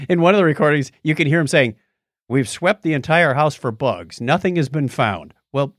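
A man's voice: fairly high pitch, lively intonation, moderate pace, no room sound, clean studio sound. A man co-hosting a podcast, casually retelling a story in a conversational way with asides. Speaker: podcast co-host. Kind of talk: casual chat, recounting a documentary.

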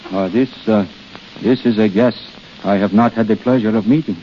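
An actor playing Death posing as a suave, sinister foreign prince, speaking ornately and formally in 1930s radio style. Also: male voice, lowish voice, moderate pace, a little hiss or hum, lightly acted. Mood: hesitant, curious. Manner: theatrical, formal, ornate phrasing. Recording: muffled 1930s radio recording, music behind.

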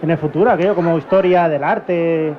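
High-voiced man hosting live radio. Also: a little fast. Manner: playfully feigns confusion, repeats words. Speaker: radio host. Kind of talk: live radio comedy banter. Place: outdoor town fair. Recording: lo-fi live recording with crowd. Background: crowd noise.

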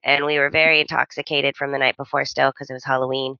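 A woman's voice: rumbling low, flat delivery, fast, bright, forceful tone, no echo, highs cut off. A woman in her thirties co-hosting a podcast, telling stories in casual chat and laughing often. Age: 30s. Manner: chatty storyteller, laughs often. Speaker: podcast co-host.

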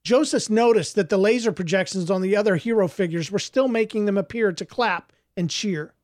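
A male author with a fairly high voice, speaking as a storyteller about and from his own work. The recording is very dry, with no room sound. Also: a little fast, a little mellow, dry recording. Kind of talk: audiobook narration and reflective interview answers.

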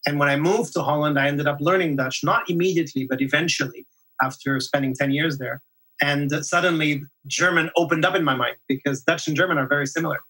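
A man's voice: a little high, bright, forceful tone, poor audio. A man whose first language is French, a podcast guest talking reflectively, often restarting and repeating words. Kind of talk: conversational interview.

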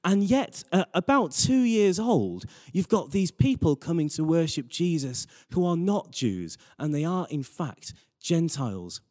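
A man's voice: fairly high pitch, sing-song, measured pace, few pauses, a little mellow. A man preaching a sermon with personal anecdotes, earnest and repeating phrases for emphasis. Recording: reverberant hall.

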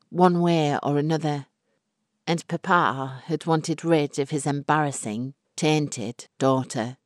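A woman narrating a dramatic short story with deliberate, expressive phrasing. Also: sits low, no room sound, studio quality. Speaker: audiobook narrator.

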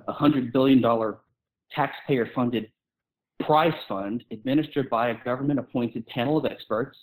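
A man's voice: mid-range voice, a little mellow, low-quality recording. A man speaking as a guest author in an informal intellectual discussion, arguing his case and often rephrasing mid-sentence.

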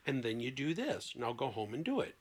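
A man talking as a therapist guest in an animated, conversational way, emphatic and given to acting out imagined dialogue. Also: medium pitch, brisk.

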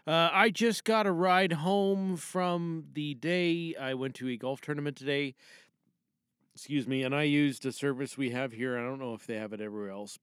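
A middle-aged man, tipsy, giving a rambling, halting, unscripted casual monologue as a podcast contributor. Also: mid-range voice, clear, resonant tone, dry recording, studio quality.

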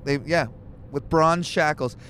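A man's voice: a little high, steady tone, unhurried, fairly bright tone, slight background noise. A man co-hosting a podcast in a moving car, reading scripture aloud and joking about it with irreverent, swearing asides. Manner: joking, swears freely, reads passages then riffs.

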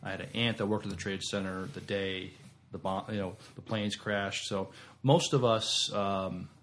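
Hesitant American man, lowish voice. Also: fairly even intonation, a bit bright, slight background noise. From United States East Coast. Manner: hesitant, halting delivery. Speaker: podcast co-host. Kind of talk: casual discussion.

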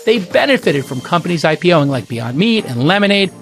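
A man's voice: high-pitched, sing-song, fast, soft background music. A man reading a scripted podcast sponsor ad in an emphatic, promotional manner. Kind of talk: scripted ad read.

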